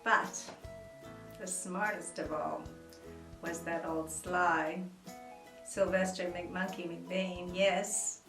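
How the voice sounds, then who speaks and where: A woman reading a children's book aloud at home, dramatic and expressive with soft whispers. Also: fairly low pitch, extremely slow, long pauses. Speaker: storyteller. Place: room at home. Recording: roomy echo, mic at a distance, faint music.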